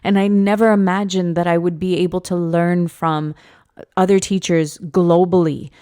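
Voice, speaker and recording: A woman in her late twenties co-hosting a teachers' podcast, speaking earnestly and deliberately, repeating phrases for emphasis. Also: lowish voice, little pitch movement, mellow, smooth. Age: late 20s. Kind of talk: reflective podcast conversation.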